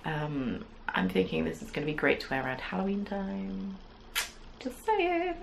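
A woman's voice: medium pitch, wildly swooping pitch, normal speed, vocal fry, slight background noise. A young woman hosting a knitting podcast, chatting casually to camera from a small craft room, rambling with lots of "um"s and playful asides. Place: small indoor craft room with yarn shelves. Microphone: camera at desk distance.

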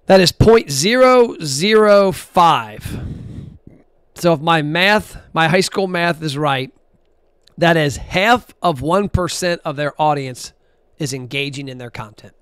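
A man talks straight into a close, dry microphone with a somewhat high voice. He is blunt and animated, chatting casually and counting figures aloud as he goes. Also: takes it slowly, clean tone, no room sound. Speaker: podcast host. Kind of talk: casual solo podcast talk.

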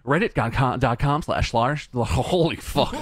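A man's voice: a little high, creaky, a bit bright, breathy edge, no room sound. A man co-hosting a podcast, wry and mock-serious, laughing easily as he riffs on a close mic. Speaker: podcast co-host. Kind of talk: casual banter.

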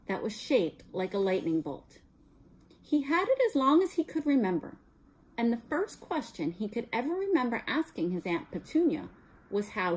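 A woman's voice: on the high side, a little mellow, breathy edge, rough recording. A middle-aged American woman, a teacher reading a children's story aloud expressively. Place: small room at home, indoors.

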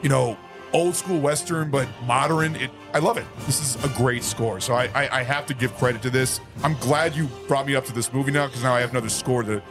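A man co-hosting a movie podcast, hoarse from a recent flu, chatting casually and excitedly, swearing freely and tripping over words. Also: medium pitch, fairly quick, over music. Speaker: podcast co-host. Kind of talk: casual movie review chat.

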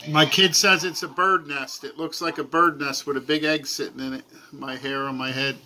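A man in his forties with a high, bright voice plays dumb on a call with a scammer. He answers loudly and earnestly, as if taking every threat at face value. He records on a camera set on a table in a small room at home, with faint noise behind him. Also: steady tone, moderate pace, poor audio. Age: 40s. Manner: playing dumb, loud and earnest on the phone. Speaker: prank caller baiting a phone scammer. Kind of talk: deadpan prank phone call. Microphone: camera on a table, phone held to ear.

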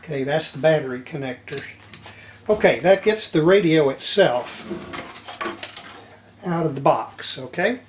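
A man's voice: a little high, lively intonation, a little slow, pauses often. An older man giving a casual, folksy workbench demonstration, half muttering to himself as he works. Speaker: hobbyist restorer presenting his repair video. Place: indoor home electronics workshop. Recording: roomy echo, clattering tool and handling noise.

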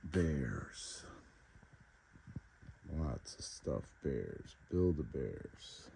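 A man's voice: rumbling low, sing-song, very slow, mellow. A man giving a casual, laid-back store tour in short remarks, recorded on a handheld camera inside a large store. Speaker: vlogger, toy store tour host. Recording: clear background store noise.

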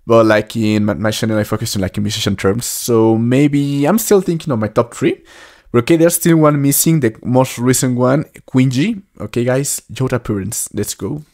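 A man in his twenties giving casual, halting, repetitive reaction commentary as a video host, recorded close up in a small room. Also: mid-range voice, darkish tone. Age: 20s. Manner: halting, repetitive phrasing with filler words. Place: small indoor room at a desk.

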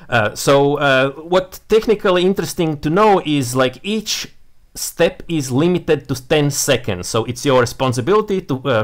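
Hesitant Latvian-accented man explaining software. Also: mid-range voice. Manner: hesitant, many fillers and restarts. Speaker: software tutorial presenter. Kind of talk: screen-share software walkthrough. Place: small room indoors.

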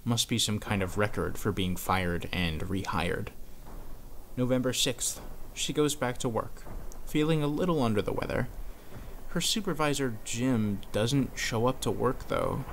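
A man's voice: medium pitch, a little slow, a little hiss or hum. A man telling a horror story in conversational scripted narration. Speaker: narrator of a horror series explainer video.

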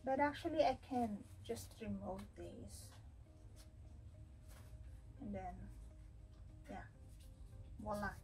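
A woman's voice: medium pitch, animated, takes it slowly, long pauses, recorded from a distance, music in the background, poor audio. A woman in her thirties with a Filipino accent, chatting casually through a haul unboxing at home and slipping Tagalog words into her English. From Philippines. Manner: chatty, mixes Tagalog into English, thinks aloud with fillers. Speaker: fashion vlogger. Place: home room with wooden drawers, indoors.

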